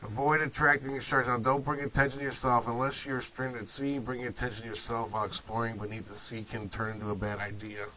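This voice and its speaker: A man's voice: medium pitch, level tone, fast, hardly any pauses, pushed and bright, poor audio. A man hosting a video talk series, sounding nasal from a cold, loose and offhand with asides. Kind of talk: informal talk to camera.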